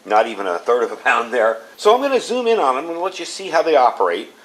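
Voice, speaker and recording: A man's voice: medium pitch, big pitch swings, quick pace, a bit bright, rough recording. Casual man reviewing gear. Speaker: product reviewer and channel host. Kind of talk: casual hands-on product review vlog.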